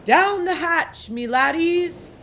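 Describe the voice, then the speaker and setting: Woman playing a blustery, high-pitched pirate captain. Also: lively intonation, takes it slowly, fairly bright tone, slight background noise, full character voice. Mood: commanding shouts. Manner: hearty, commanding pirate talk. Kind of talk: storybook read-aloud.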